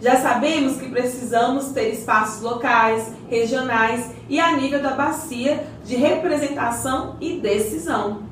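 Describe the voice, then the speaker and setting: A woman's voice: sits high, unhurried, few pauses. A woman playing an encouraging, well-informed community guide in a scripted radio soap, explaining things didactically. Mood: reassuring. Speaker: character explaining community projects. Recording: very echoey, distant mic, faint noise.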